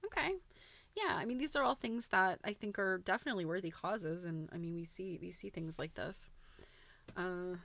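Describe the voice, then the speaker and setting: A woman host chatting casually on a livestream in an informal, halting way, thinking aloud as she goes. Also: on the low side, animated, vocal fry, a bit bright. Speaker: host of a live quilting book show. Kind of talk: casual livestream chat, commenting while browsing a book.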